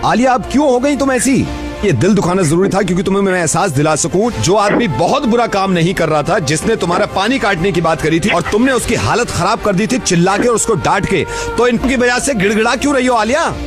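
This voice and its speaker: A man's voice: high-pitched, expressive, quick pace, music in the background. Earnest male radio host lecturing by phone. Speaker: radio host pranking a caller. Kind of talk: prank phone call and pep talk.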